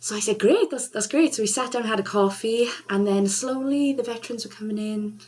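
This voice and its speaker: A woman's voice: fairly high pitch, brisk, a little mellow. A woman singer and charity ambassador chatting in an interview, bubbly and enthusiastic, laughing often as she talks. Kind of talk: casual interview chat.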